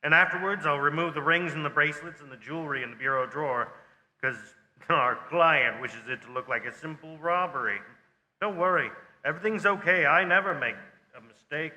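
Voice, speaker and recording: Man playing a sharp, bright-voiced hired killer. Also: fairly high pitch. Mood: cold, businesslike. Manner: brisk, reassuring, cold-blooded. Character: cocky, matter-of-fact hired killer. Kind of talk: old-time radio crime acting. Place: live stage in a theatre. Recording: reverberant theatre hall.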